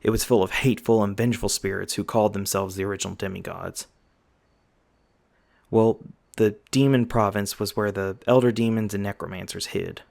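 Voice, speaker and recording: A man's voice: on the low side, steady tone, fairly quick, gravelly, darkish tone. A man playing an easygoing, folksy magi guide, explaining patiently in an ASMR roleplay. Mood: storytelling, thoughtful. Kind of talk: ASMR roleplay, conversational storytelling.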